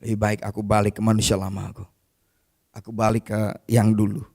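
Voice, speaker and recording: A man's voice: on the low side, little pitch movement, brisk, darkish tone. A male pastor preaching a sermon in a conversational way, often calling his listeners "brother" and acting out the people in the story. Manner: addresses listeners as "saudara", retells scripture with quoted voices; conversational and informal, with casual asides.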